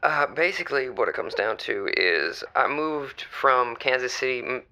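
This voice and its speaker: A man's voice: medium pitch, sing-song, normal speed, bright, forceful tone, full character voice. A man playing a nervous, polite, folksy radio caller who stammers and hesitates over a dull caller line. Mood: nervous, troubled. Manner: hesitant, stammering, courtly wording. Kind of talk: improvised radio call-in drama.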